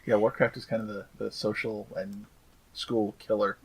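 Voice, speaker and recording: A man's voice: fairly low pitch, little pitch movement, moderate pace, slightly rough, poor audio. A man co-hosting a podcast, tossing in wry, joking asides during casual chat. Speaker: podcast co-host.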